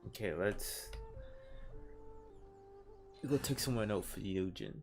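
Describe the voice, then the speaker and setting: A man with a low voice comments casually on his gameplay. He is offhand and slangy. Game music runs clearly behind him, with some clicking and typing. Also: animated, unhurried. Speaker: game streamer.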